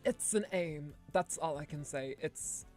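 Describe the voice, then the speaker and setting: A woman's voice: low voice, unhurried, darkish tone, soft background music. A woman from Ireland chatting casually in an earlier stream clip that is played back. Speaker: streamer in her earlier debut video.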